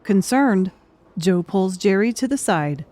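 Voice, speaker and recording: A woman's voice: mid-range voice, lively intonation, takes it slowly, mellow. A woman reading scripted fiction narration as an audiobook narrator.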